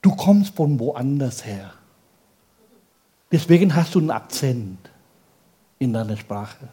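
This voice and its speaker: A male pastor preaching in a language that is not his first, peppering his sermon with rhetorical questions and quick checks to the congregation. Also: mid-range voice, lively intonation, dark tone, breathy edge. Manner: speaks German as a second language.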